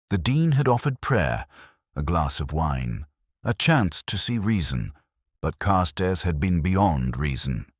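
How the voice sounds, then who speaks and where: A man playing a weary, melancholy police inspector, narrating his case in a measured, literary, brooding way as a first-person detective story. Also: a bass voice, dry recording, clean studio sound, exaggerated voice.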